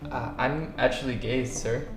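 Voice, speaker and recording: Actor as earnest young boyfriend, echoey room. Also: male voice, mid-range voice, fairly even intonation, unhurried, close to an everyday voice. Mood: polite, hesitant. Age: young adult. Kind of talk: earnest low-budget skit acting. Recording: echoey room, faint music behind.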